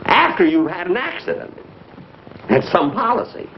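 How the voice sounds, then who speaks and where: An actor playing a thrifty, put-upon fiancé with a dry, exasperated manner in 1950s broadcast comedy style. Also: male voice, high-pitched, sing-song, moderate pace. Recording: hissy, muffled 1950s broadcast recording.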